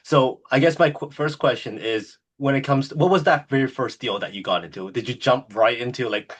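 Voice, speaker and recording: A man's voice: mid-range voice, fairly quick. A man hosting an interview podcast, introducing and questioning his guest with eager enthusiasm and plenty of "uh" fillers. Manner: enthusiastic, many "uh" fillers and restarts. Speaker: podcast host.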